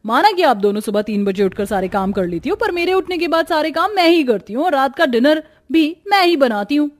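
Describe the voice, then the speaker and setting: Cartoon young wife, high voice, sulky and defiant, complains with exaggerated whininess. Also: female voice, animated, a little fast. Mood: defensive. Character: young sulky daughter-in-law, defiant, whiny, fond of sleep. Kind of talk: Hindi cartoon story dialogue.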